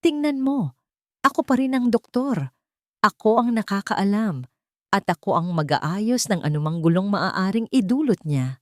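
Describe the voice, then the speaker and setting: A woman's voice: medium pitch, lively intonation, unhurried, a little mellow, dry recording, voice pushed up, natural voice. A woman acts out a condescending woman doctor in an audio drama. She is cutting and patronizing, with cool menace under a clinical manner, recorded dry and close. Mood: smug. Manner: cutting, patronizing, mixes in English medical terms. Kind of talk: audio drama character dialogue.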